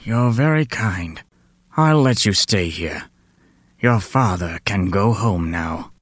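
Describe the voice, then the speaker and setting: A storyteller voicing a fierce male beast from a fairy tale, with slow, emphatic, theatrical delivery. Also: low-pitched, animated, no echo, studio quality, pitched below the performer's own voice, heavily acted. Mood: grave. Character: fierce beast with lion head, male, gruff but lonely.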